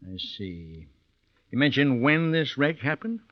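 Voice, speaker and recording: An American actor playing a skeptical, folksy small-town sheriff in an old-time radio drama. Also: male voice, mid-range voice, big pitch swings, measured pace. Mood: probing, casual. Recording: dull lo-fi vintage radio recording.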